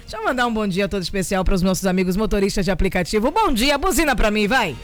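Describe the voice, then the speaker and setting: A woman with a Bahian accent hosting a morning radio show, chatty and teasing, sending shout-outs to listeners from the studio. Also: mid-range voice, expressive, quick pace, faint music behind. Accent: Bahian Brazilian Portuguese. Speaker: radio host.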